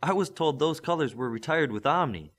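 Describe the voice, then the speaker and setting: A man voicing an eager young male superhero trainee in an audiobook, earnest and excitable. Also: fairly high pitch, brisk, pitched above the performer's own voice. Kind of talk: audiobook character acting.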